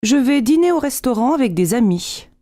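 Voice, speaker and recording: A woman with a fairly high, mellow voice reads everyday dialogue lines for learners. Her delivery is clear and deliberate. The close recording is dry and free of room sound. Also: sing-song, no echo.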